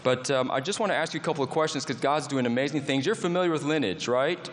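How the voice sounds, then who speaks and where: An enthusiastic man hosting an onstage interview in front of a live audience, talking folksy and loose with plenty of "you know" and "like". His mid-pitched voice rings out with a long hall reverb. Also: quick pace. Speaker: host. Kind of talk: onstage interview at a church camp meeting.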